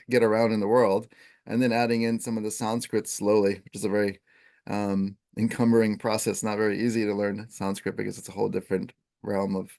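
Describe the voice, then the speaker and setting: A man who teaches Ayurveda, explaining in a relaxed, conversational way with plenty of fillers. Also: lowish voice, gravelly. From Italian first language. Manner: relaxed, filler-heavy, chants Sanskrit verses.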